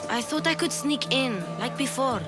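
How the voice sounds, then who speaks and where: A woman's voice: high-pitched, normal speed, natural voice. An actress playing an eager, curious young girl thief in a game cutscene. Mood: apologetic. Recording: game cutscene with faint music. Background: music.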